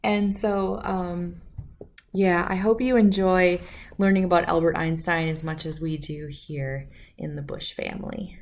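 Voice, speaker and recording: Slow-paced woman reading a storybook aloud. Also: lowish voice, vocal fry. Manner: expressive, acts out character lines. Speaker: read-aloud host. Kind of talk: storybook read-aloud for children.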